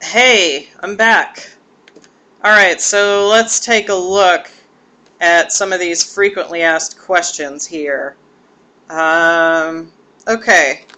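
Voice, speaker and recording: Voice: mid-range voice, bright, forceful tone. A podcast host answering questions casually and candidly while talking solo. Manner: candid, informal.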